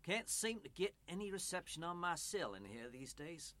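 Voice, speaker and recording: Kindly male pastor played by a male actor for radio drama: earnest family man, slightly high bright voice, halting phrasing. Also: very animated. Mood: friendly, hospitable. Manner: hesitant, repeating words as he reassures. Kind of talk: radio play acting.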